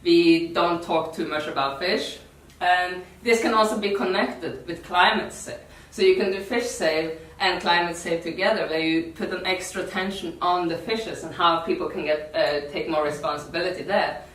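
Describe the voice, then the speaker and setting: A woman from Norway with a Norwegian accent gives an informal workshop talk in non-native English, halting and repeating words. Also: fairly low pitch, fairly bright tone. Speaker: activist workshop speaker. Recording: echoey room, distant mic.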